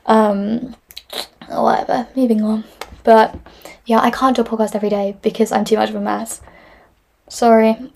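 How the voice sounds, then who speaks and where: A woman's voice: on the high side, vocal fry, darkish tone. A woman, hosting a podcast in casual solo chat, rambling with many fillers and frequent laughs. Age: 20s. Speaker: podcast host. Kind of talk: casual solo chat answering listener questions.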